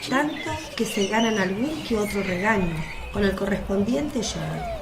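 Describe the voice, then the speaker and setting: A woman's voice: medium pitch, animated, moderate pace. A woman reading scripted narration for a devotional radio play in Spanish-language storytelling style. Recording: echoey, faint noise with birdsong. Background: birdsong and outdoor ambience.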